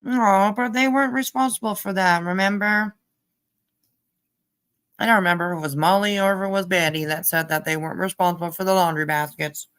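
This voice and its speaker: A woman giving casual, halting commentary as host of a livestream. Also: mid-range voice, steady tone, a bit bright, clean tone. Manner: halting, hesitant delivery with trailing asides. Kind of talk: casual livestream commentary over played clips.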